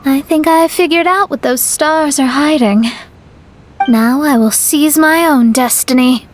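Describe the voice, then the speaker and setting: A woman playing a proud, thoughtful young astrologer in a video game, speaking in a measured, theatrical way. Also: sits high, a little hiss or hum. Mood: wistful, resolute. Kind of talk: video game voice acting, dramatic monologue.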